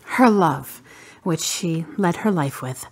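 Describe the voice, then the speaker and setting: A guarded, independent-minded woman in mourning, played by an actress in a radio drama. Her voice is lowish and husky with breath in it, and she speaks in a measured, dry, reserved way with a wry edge. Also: expressive, full character voice. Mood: subdued, grieving.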